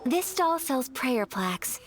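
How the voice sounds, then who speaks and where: A soft, polite young noblewoman. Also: sits high, sing-song, slow, slight background noise, exaggerated voice. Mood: gentle. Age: young adult. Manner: soft-spoken, formal and polite. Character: gentle, refined young noblewoman.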